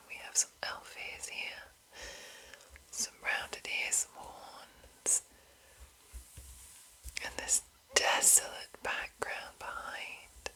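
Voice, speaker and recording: A woman speaking in a breathy whisper, reading and commenting in a deliberate ASMR manner with long pauses between short phrases. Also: sharp tone, rough recording. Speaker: host of an ASMR channel.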